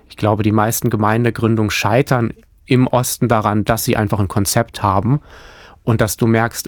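A man's voice: lowish voice, no echo, clean studio sound. A man from Hamburg, a church planter, answering interview questions thoughtfully, often restarting his sentences.

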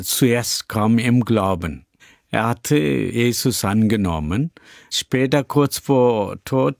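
An older man from India, a pastor answering interview questions in slow, halting German full of fillers. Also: mid-range voice, no echo, studio quality. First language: Tamil. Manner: slow, searching for words, many fillers. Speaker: pastor and church founder.

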